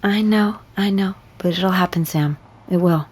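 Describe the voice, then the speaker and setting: A professional counselor in an audio drama. Her voice is low for a woman, and she speaks in a measured, probing way that is firm but encouraging. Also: a little mellow, breathy edge. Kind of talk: naturalistic audio drama acting.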